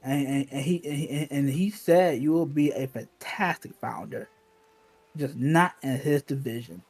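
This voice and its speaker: A man's voice: medium pitch, measured pace, a little mellow, slightly rough. A man reminiscing in casual, slangy talk, emphatic and often repeating himself.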